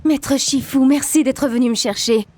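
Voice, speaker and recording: A woman voicing a fierce, respectful kung fu warrior student in a game, with a slightly husky voice. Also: high voice, normal speed, mellow. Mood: respectful, earnest.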